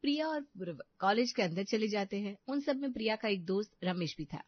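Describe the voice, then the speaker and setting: A woman's voice: mid-range voice, lively intonation, fairly quick, dry recording. A woman narrating a scripted story as a storyteller, close to the microphone. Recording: slightly dull, dry studio sound.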